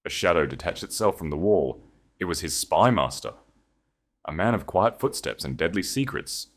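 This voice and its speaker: A man reads a historical romance audio story with a dramatic, measured delivery. His voice is very deep and low. The narration is scripted and steady, built for listening.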